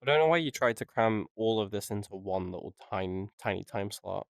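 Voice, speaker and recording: Low-voiced man, rambling podcast banter. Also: lively intonation, brisk, creaky, dry recording, clean studio sound. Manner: sweary, rambling, joking. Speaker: podcast co-host and video editor. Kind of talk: casual unscripted podcast banter.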